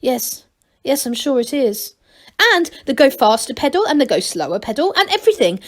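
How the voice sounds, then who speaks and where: A woman's voice: high voice, very animated, normal speed, pitched above the performer's own voice. A worried gnome leader in a children's story, read by a woman. The voice is raised a little, polite and hesitant, anxious yet kind. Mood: hesitant, kindly.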